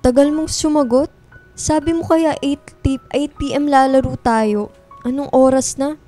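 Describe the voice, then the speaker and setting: A woman's voice: high voice, steady tone, normal speed, warm tone, soft background music, close to an everyday voice. A woman playing a sulky, teasing college-age best friend in a radio drama, with blunt slangy banter and a guarded streak. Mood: teasing, irritated.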